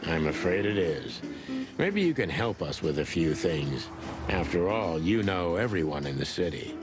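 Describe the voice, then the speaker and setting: An actor playing a world-weary, wry rooster detective in a noir video game, with a dry, hard-boiled manner. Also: male voice, deep voice, sing-song, normal speed. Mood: serious. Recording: music under the voice.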